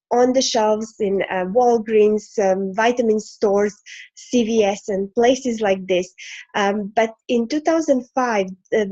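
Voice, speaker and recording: Woman from croatia hosting a zoom sales pitch, lots of ums, dry muffled call audio. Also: darkish tone, no echo. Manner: many fillers and restarts. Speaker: host of a sales presentation. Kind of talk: online business presentation. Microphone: video call.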